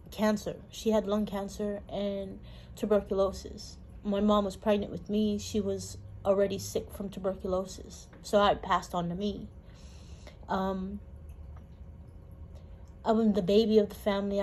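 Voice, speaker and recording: Woman sharing a halting testimony. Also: medium pitch, dark tone, slight background noise, low-quality recording. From Honolulu, Hawaii. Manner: halting, emotional storytelling with pauses. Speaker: interviewee. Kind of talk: personal testimony.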